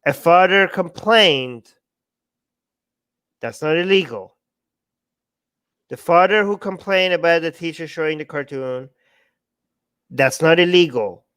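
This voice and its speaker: A man's voice: fairly high pitch, slow pace, pauses often, fairly bright tone, clear, resonant tone, no room sound. A man co-hosting a political talk show, bluntly arguing his view and mimicking the people he disagrees with. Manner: blunt, argumentative, quotes others in mocking voices. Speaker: co-host.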